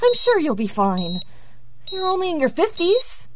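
Amid beeps and background noise, a worried wife in an audio drama. Her high voice moves around a lot in pitch. She is fretful and nagging, yet keen to reassure. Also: huge pitch swings, normal speed, exaggerated voice. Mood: anxious, reassuring. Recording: noisy, with beeping hospital monitor. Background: electronic beeping, noise.

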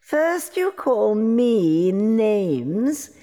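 Wicked old fairy, very slow drawl, wildly swooping delivery, mock-sweet taunts, fairytale radio play. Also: female voice, mid-range voice, very animated, warm tone. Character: wicked old fairy, gloating, mocking, vengeful. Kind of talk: fairytale audio drama acting. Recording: long hall reverb.

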